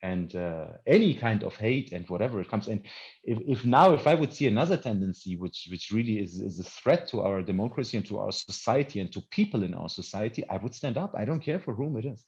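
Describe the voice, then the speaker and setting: A man born in Iran who is more German than Iranian, a non-native English speaker and film director, answering interview questions earnestly and emphatically, with halting restarts. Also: fairly low pitch, animated, darkish tone. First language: not English. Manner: earnest, emphatic, halting with repeated word starts. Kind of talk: festival Q&A conversation. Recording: dull, lo-fi audio.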